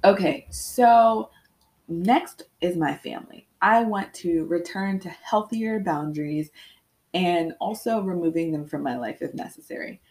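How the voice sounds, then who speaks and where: Solo podcast host: young woman, low voice with vocal fry, candid and reflective, a bit stuffy-nosed. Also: a little slow, darkish tone. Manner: candid, reflective, with frequent ums. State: congested with sniffles.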